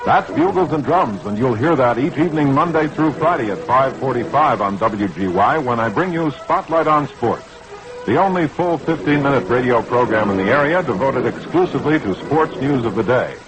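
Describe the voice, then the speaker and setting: A man's voice: mid-range voice, expressive, moderate pace, low-quality recording. A man reading a scripted station promo in a formal, polished 1960s radio announcer style. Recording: vintage 1960s AM radio recording. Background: music.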